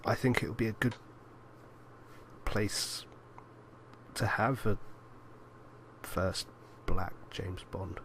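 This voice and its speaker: Guy on a casual film podcast, lowish croaky voice w lots of fry, noisy homemade recording. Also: takes it slowly, pauses often, a bit bright. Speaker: podcast co-host. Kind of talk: casual chat about films.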